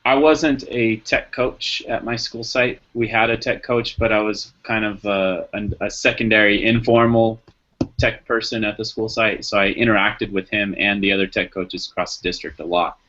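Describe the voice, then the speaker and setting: A man with a slightly low, bright and forceful voice chats casually as a facilitator in an online group. He is encouraging and helpful. The sound is that of a lo-fi video call with dulled detail. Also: steady tone, moderate pace. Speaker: discussion facilitator, educator.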